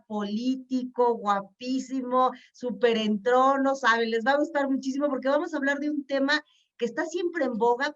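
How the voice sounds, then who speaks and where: A woman's voice: fairly high pitch, distant mic. A woman hosting a web TV talk show, effusive and enthusiastic. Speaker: host. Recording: muffled sound from off-mic.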